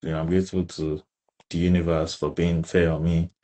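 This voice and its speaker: A man's voice: sits low, little pitch movement, fairly quick, dark tone. A young man with a Nigerian accent, chatting casually as an interview guest and repeating words for emphasis. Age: young adult. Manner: emphatic repetition, frequent tags like "right" and "you know". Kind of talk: casual chat.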